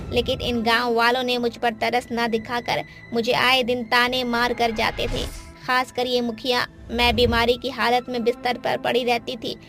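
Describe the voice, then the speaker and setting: A menacing witch ghost from a cartoon horror story. The voice is fairly high and cuts sharply, with theatrical bite. She is a wronged village mother out for revenge. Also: a bit bright. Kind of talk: Hindi cartoon horror voice acting. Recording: background music under the voice.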